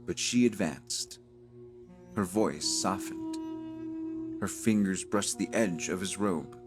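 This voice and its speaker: Slow, solemn male narrator over music. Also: low-pitched. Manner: solemn, dramatic, with deliberate pauses. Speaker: narrator of an audio drama. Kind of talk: slow cinematic scripted narration.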